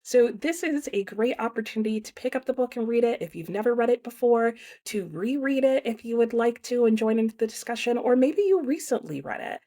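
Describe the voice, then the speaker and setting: A young woman hosting her book channel, announcing a readalong in a chatty, casual way with lots of fillers and lively swings in pitch. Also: fairly quick, few pauses. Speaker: booktube host.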